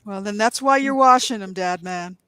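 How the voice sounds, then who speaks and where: A woman's voice: medium pitch, normal speed, fairly bright tone, clear, resonant tone. Chatty woman on a reading show. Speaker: narrator and co-reader. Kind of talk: casual livestream chat.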